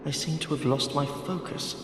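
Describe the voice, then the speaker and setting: A man voicing a gentle, absent-minded wandering mapmaker in a video game, courteous and hesitant, his thoughts drifting. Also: fairly high pitch, steady tone, moderate pace, lightly acted. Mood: wistful, courteous. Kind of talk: video game character dialogue. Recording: echoey long reverb, clear background noise.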